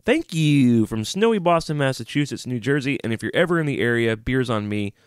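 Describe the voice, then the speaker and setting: A man's voice: medium pitch, expressive, fairly quick, smooth, dry recording, studio quality. A man co-hosting a casual podcast with a stuffy nose, joking around and reading listener emails aloud. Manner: joking, breaks into singing. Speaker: podcast co-host. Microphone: studio microphone, preamp talk.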